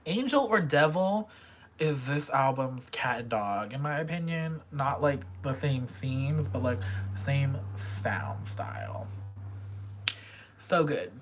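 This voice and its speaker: A young woman talks through her favorite songs in a relaxed vlog, gushing like a fan. Her voice sits low but swings widely in pitch, and she takes her time. Now and then she drops to a near whisper. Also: takes it slowly, faint music behind. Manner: chatty fangirl, gushing, drops into quiet whispers. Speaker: K-pop album reviewer. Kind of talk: casual music review vlog.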